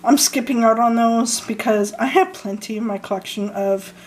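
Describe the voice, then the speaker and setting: A young woman from Canada chatting casually about her fragrance purchases, hoarse and losing her voice, hesitant with many ums and frequent throat clearing. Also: a little high, moderate pace, a little hiss or hum. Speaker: vlogger showing fragrance purchases. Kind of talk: casual haul chat.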